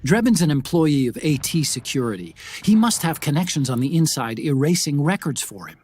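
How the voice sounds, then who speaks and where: A man playing an earnest, analytical adviser giving a briefing in a video game, articulate and measured. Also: a little high, expressive, a little mellow, breathy edge. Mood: informative. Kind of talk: video game cutscene acting.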